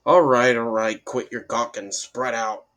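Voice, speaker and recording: A man voicing a gruff, impatient police officer with a barking, scornful manner in a horror story reading. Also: medium pitch, steady tone, unhurried, a bit bright, natural voice. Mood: barking orders.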